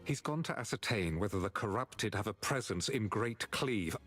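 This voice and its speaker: An actor playing an earnest, dutiful knightly warrior in a fantasy video game, speaking formally and gravely. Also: male voice, lowish voice, animated, normal speed, fairly bright tone, faint music behind. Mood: resolute. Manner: formal, declamatory.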